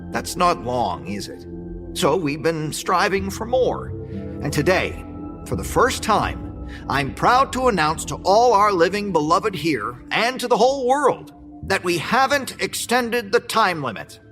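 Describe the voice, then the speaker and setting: An actor playing a slick, showy male presenter in an audio drama, grandiose, with dramatic pauses. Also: fairly high pitch. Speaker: event presenter. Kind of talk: theatrical audio drama, keynote showman.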